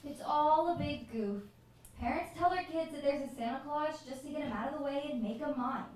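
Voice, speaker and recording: A woman's voice: sits high, a little fast, a little mellow, close to an everyday voice. A woman playing a mean, mocking bully girl in a stage radio play, with taunting, slangy street-kid talk. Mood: scornful. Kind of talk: stage radio drama acting. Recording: echoey theatre, far from the mic.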